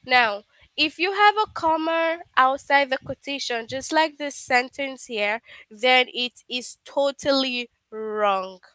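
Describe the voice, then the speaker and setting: A woman's voice: sits high, slow pace, pushed and bright, smooth, no room sound, rough recording. A woman teaching a live online grammar lesson, patiently checking understanding with frequent "okay?".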